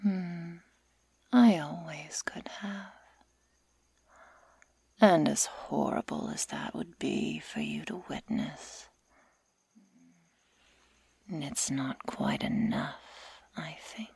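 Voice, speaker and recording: A woman voicing a sadistic, taunting werewolf girl in a breathy whisper for an ASMR roleplay, speaking slowly and deliberately with mock-sweet menace. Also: deep voice, animated, darkish tone, dry recording, exaggerated voice. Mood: sadistic, gloating.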